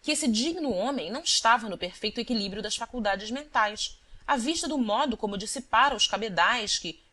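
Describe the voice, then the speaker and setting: A woman reading scripted literary narration as an audiobook narrator, with a measured, deliberate storytelling delivery. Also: on the high side, animated, bright, forceful tone, a little hiss or hum.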